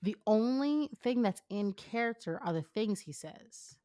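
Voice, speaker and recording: Woman, medium pitch, casual comedic reader with slangy, teasing, giggly commentary. Close dry sound. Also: lively intonation, a little slow, mellow, dry recording. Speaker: co-host reading fan fiction aloud.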